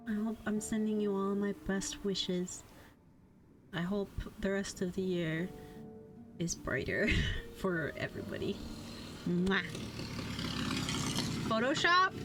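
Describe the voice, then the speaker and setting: A woman's voice: medium pitch, fairly bright tone. Playful, sweary woman streamer over music. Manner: playful, sweary, slangy, theatrical. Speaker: livestreamer. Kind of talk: casual livestream chat with chat readouts. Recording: background music under voice.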